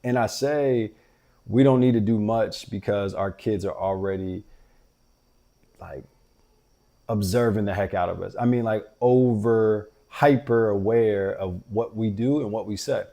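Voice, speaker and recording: Deep-voiced man, earnest and gravelly. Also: unhurried, warm tone. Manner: earnest, reflective, talks directly to fathers. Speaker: podcast host. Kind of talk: casual heartfelt monologue.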